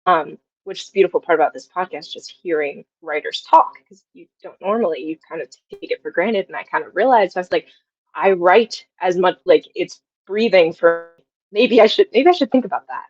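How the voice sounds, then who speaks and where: A woman's voice: on the low side, a little fast, slightly rough, muffled recording. A young woman telling stories casually and effusively as an interview guest, often acting out remembered conversations. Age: young adult. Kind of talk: casual, anecdotal interview talk.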